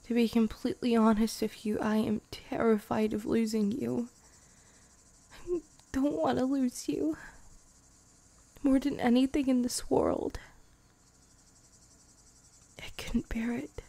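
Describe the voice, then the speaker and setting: Woman playing a slow, creaky-voiced tender vampire girlfriend. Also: fairly high pitch, fairly even intonation, heavily acted. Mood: fearful, devoted. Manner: tender, hushed, often slipping into whispers, giggly. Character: loving young vampire girlfriend, playful yet afraid of loss. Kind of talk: ASMR roleplay, improvised and intimate. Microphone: close ASMR microphone.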